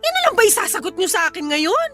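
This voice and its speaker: A desperate young mother with a very high, bright voice and a Filipino accent. She pleads and demands indignantly in radio drama style, with faint music behind her. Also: sing-song, fast, heavily acted. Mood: accusing.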